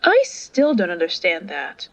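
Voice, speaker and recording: Woman acting an eager, animated young fantasy girl. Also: lowish voice, unhurried, no room sound. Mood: thoughtful. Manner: excitable, teasing. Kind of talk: fantasy audio drama acting. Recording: dull, dry close recording.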